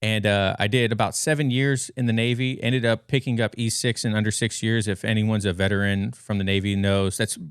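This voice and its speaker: A man's voice: on the low side, fairly even intonation, fairly quick, few pauses, clear, resonant tone, no room sound, clean studio sound. An American man in his thirties chatting casually as a podcast guest, telling stories in an informal, rambling way. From western United States. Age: 30s. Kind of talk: casual conversational storytelling. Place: bedroom at home.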